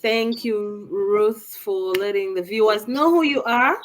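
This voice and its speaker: A woman's voice: mid-range voice, unhurried, slight background noise. A woman from Uganda hosting a live online panel over a video call, upbeat and chatty, speaking straight to the viewers. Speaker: live show host.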